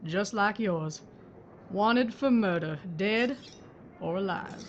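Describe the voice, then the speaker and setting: A woman's voice: mid-range voice, slow pace, highs cut off, a little hiss or hum, close to an everyday voice. A woman playing a blunt sheriff. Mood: steely. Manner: terse, dry, gritty. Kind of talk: audio drama acting.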